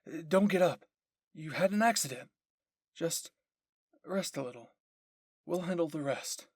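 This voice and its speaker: A man's voice: fairly high pitch, lively intonation, measured pace, slightly rough, no room sound, clean studio sound, voice pushed up. A man playing a protective, stubborn young male soldier in an audio story, with a firm, insistent manner. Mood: reassuring.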